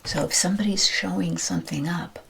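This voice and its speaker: A woman whispering a rambling ASMR chat while ironing, her voice with a deeper timbre. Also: a little fast, creaky, noisy background. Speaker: ASMR creator. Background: ironing noises.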